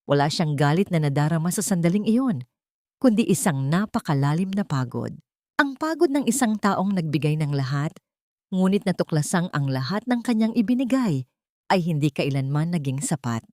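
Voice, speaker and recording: A woman narrating a dramatic story in a slow, measured, somber way, close to the mic. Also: sits low, expressive, darkish tone, no room sound.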